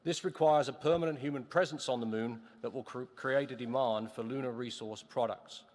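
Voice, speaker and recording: A man with a Northern Indiana accent delivers measured policy remarks as a lunar scientist. His mid-range voice carries a long reverb from a large museum hall. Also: medium pitch, fairly even intonation, darkish tone. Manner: measured reading with self-deprecating asides.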